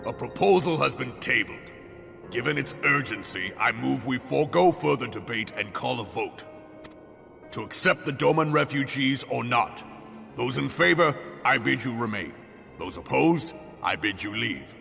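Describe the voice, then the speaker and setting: An actor playing a stern, commanding general with a gravelly, authoritative voice. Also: male voice, medium pitch, lively intonation, normal speed, sharp tone, big-room sound, faint music behind, exaggerated voice. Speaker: game character.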